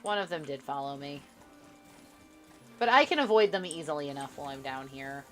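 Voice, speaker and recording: A woman's voice: low voice, expressive, fairly quick, fairly bright tone, faint music behind. A woman streaming a co-op game live, bantering with her co-player in a dry, sarcastic way. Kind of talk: casual gameplay chat with a co-player.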